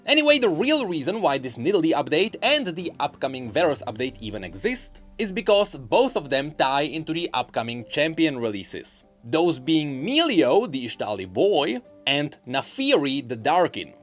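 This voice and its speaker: A man's voice: on the high side, very animated, moderate pace, hardly any pauses, fairly bright tone, soft background music. A man giving scripted commentary on video game lore and voice lines in an emphatic, explanatory way.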